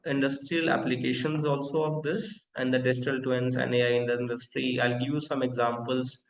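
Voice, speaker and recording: Gravelly-voiced man hosting a tech webinar. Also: mid-range voice, echoey room, slight background noise, poor audio. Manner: interactive, asks the audience questions, many fillers. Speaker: webinar host and company founder. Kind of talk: live online technical lecture.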